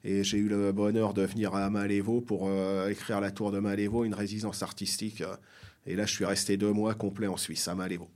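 A middle-aged man from the Seine-et-Marne area of France speaks as a guest in an interview. His voice is fairly low and very even in pitch, and he feels his way through answers, doubling back on words. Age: 40s or 50s. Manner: hesitant, halting, repeating phrases. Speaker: guest writer. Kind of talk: interview answers, personal recollections.

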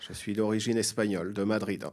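A middle-aged man from the Seine-et-Marne area of France speaks as a guest in an interview. His voice is fairly low and very even in pitch, and he feels his way through answers, doubling back on words. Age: 40s or 50s. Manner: hesitant, halting, repeating phrases. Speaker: guest writer. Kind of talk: interview answers, personal recollections.

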